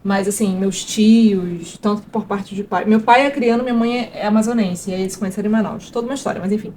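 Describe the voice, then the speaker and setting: A woman's voice: mid-range voice, fairly even intonation, fast, darkish tone, a little hiss or hum. A woman, a guest chatting casually in an interview, rambling with fillers and restarts. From Brazil. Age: 30s. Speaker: guest actress and producer.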